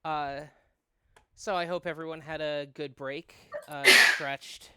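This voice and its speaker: A man's voice: fairly high pitch, steady tone, slow, sharp tone, clean tone, no room sound. A person, a man, hosting a game playthrough in casual chat and reading aloud. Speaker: host of a visual novel playthrough. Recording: music behind the voice.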